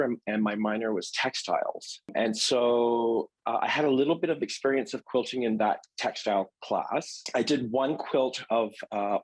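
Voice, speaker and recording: A man's voice: fairly low pitch, husky, poor audio. A man with a Canadian accent chatting casually as an interview guest, telling personal stories. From London, Ontario, Canada. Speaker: interview guest, quilter and teacher.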